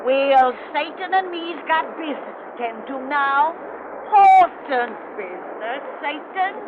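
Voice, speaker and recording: A woman's voice: sits high, animated, a little slow. An actress playing a slyly gleeful, cackling old witch who hosts an old-time radio horror show. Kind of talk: 1930s radio horror hosting. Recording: muffled, noisy old radio recording.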